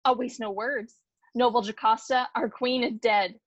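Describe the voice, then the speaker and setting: A woman's voice: on the high side, steady tone, moderate pace, no room sound, lo-fi sound, lightly acted. A woman playing a horrified palace messenger, narrating dramatically in a Greek tragedy. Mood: grave, horrified. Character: horrified palace messenger reporting gruesome deaths.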